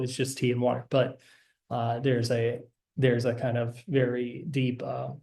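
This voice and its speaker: A man walks through slides in a relaxed, hesitant way, stopping often for fillers and restarts. His voice has a warm, mellow tone and stays fairly level in pitch. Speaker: program director presenting. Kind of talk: informational slideshow presentation.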